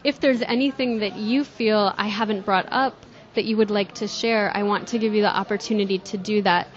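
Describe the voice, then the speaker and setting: A woman podcast interviewer asking measured, polite questions and thanking her guest. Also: medium pitch, fairly even intonation, highs cut off.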